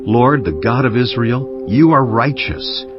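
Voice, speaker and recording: A man narrating dramatized scripture in a solemn, measured manner. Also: mid-range voice, expressive. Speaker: Bible narrator. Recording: dull audio under music. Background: prominent background music.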